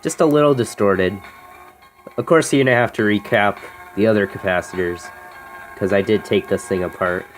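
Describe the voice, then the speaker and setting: A man's voice: fairly low pitch, moderate pace, over music. An American man giving a casual, unscripted, offhand demonstration as a hobbyist, recorded on a handheld phone. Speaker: radio hobbyist demonstrating a vintage radio. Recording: noisy phone video with clicks.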